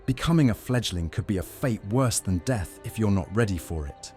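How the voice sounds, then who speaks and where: Man playing vampire master, audio drama, very low pitch, measured, teasing menace, faint music underneath. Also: expressive, mellow, natural voice. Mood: solemn warning. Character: young Roman male vampire master, cool and menacing. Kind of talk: audio drama roleplay acting.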